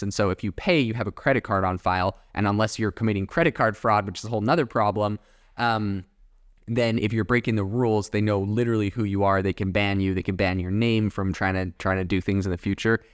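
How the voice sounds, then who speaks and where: A man's voice: fairly low pitch, dry recording, studio quality. A young man hosting a solo podcast, chatting casually in a rambling way with many fillers and asides. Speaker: podcast host.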